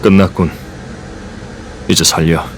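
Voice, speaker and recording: A very deep, stern agent. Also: male voice, flat delivery, measured pace, a little hiss or hum. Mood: cool. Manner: cool, curt and commanding. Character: calm, stern male agent, unflinching. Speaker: government agent investigating paranormal cases. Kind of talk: professional audio drama acting. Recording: loud music score underneath.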